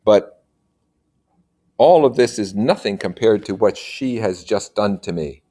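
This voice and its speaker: A man reads the part of an impoverished medieval gentleman in a storybook, devoted and sorrowful in love. His speech is earnest, courtly and formal, in a rather low voice. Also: lively intonation, measured pace.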